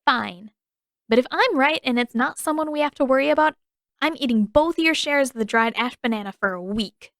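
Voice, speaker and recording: A woman voicing a brash, impatient and scornful young tomboy girl in a story reading, with a loud, taunting delivery. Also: high-pitched, brisk, dry recording, studio quality, voice pushed up. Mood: grudging. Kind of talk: character voice in an audiobook-style fan fiction reading.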